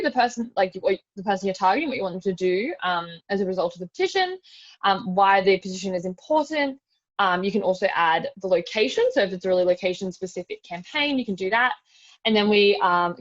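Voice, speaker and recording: Chatty Australian woman on a dull-sounding call. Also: mid-range voice. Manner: casual, chatty, frequent "like" and "super". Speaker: webinar presenter giving a demonstration. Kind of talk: informal step-by-step tutorial. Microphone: video call. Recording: dull lo-fi video call audio.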